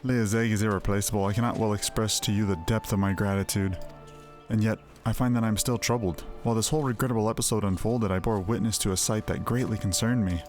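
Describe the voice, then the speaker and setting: A man's voice: on the low side, fairly even intonation, quick pace, darkish tone, soft background music, lightly acted. A man voicing a formal, courteous male stable master in a game who speaks in a courtly way. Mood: earnest.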